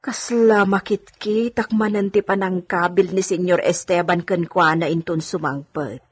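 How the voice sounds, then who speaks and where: A woman playing a concerned, outspoken housemaid and confidante in a radio drama, with a slightly husky voice. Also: fairly low pitch, fairly even intonation, unhurried. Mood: worried, gossiping. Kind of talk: Ilocano radio drama acting.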